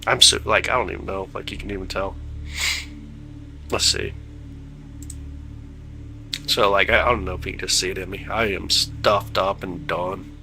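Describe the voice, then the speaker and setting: A man's voice: low-pitched, steady tone, moderate pace, creaky, pauses often, bright, forceful tone, faint music behind. A man hosting a live telescope stream, chatting casually with viewers in a laid-back, slangy way, his voice stuffed up from congestion. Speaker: livestream host of telescope views.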